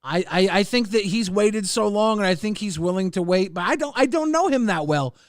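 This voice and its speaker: A man hosting a casual livestream podcast, rambling and halting, swearing and reading out chat messages. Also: high voice, lively intonation, dry recording.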